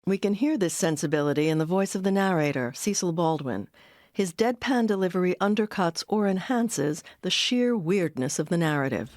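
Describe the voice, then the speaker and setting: A woman giving measured, articulate scripted narration as the narrator of a documentary. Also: on the low side, animated, a little mellow, dry recording, clean studio sound.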